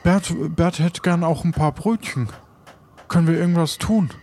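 A man playing a polite, bumbling pirate in an improvised audio play, stammering and halting as he bargains. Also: fairly high pitch, a little mellow, close to an everyday voice. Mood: polite, eager. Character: hungry, courteous, bumbling male pirate haggler. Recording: background noise and ambience.

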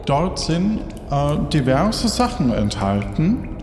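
Higher-voiced male game master, echoey with music. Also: sing-song, takes it slowly, darkish tone. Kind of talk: improvised game narration. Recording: echoey hall reverb with clear music.

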